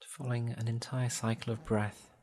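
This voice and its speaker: A man guiding a meditation in a gentle, soothing, measured way, leaving long pauses between instructions. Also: mid-range voice, little pitch movement. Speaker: meditation guide. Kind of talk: guided body scan meditation.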